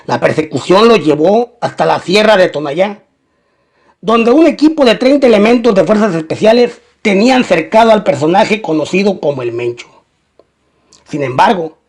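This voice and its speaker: A man reading a scripted documentary-style crime narration. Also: high voice.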